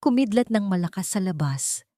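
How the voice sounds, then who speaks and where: Female audiobook narrator, dark mellow tone, dramatic scripted delivery, very dry close sound. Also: medium pitch, lively intonation, moderate pace, dry recording.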